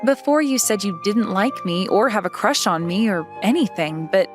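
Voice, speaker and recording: Woman acting schoolgirl love interest, manga dub, mid-pitched, reserved, playful, coy, faint music underneath. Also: lively intonation, normal speed, lightly acted. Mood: expectant.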